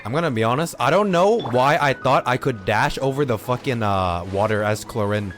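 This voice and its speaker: A man doing casual live gameplay commentary as a streamer, reactive and excitable, swearing casually. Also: mid-range voice, moderate pace, faint music behind. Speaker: game streamer.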